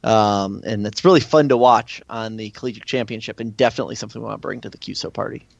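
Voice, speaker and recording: A man's voice: on the low side, quick pace, creaky, dry recording, lo-fi sound. An American man talking casually as an interview guest and event organizer.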